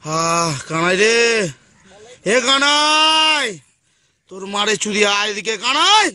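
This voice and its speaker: A man's voice: high voice, very animated, slow, bright, forceful tone, dry recording, full character voice. A man dubbing a suffering, hungover character who groans, whines and curses in a comic cartoon parody. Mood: groaning. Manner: groaning, whining, cursing.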